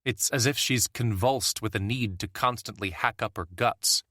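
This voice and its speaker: A man narrates a survival audiobook in first person. His voice is low but bright and forward. His delivery is steady and plain, and the read is dry and close, with no room around it. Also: normal speed, no echo.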